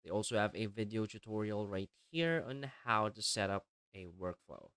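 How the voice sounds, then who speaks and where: A man narrating a step-by-step software tutorial in a plainly explanatory, instructional way. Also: lowish voice, normal speed, clean tone, dry recording, clean studio sound. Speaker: tutorial presenter.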